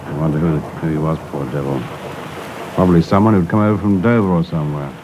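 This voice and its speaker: An actor playing a commanding but compassionate British tugboat skipper in a 1950s radio drama, clipped and authoritative. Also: male voice, extremely low pitch, fast talker. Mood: sombre. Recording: dull, noisy 1950s radio recording.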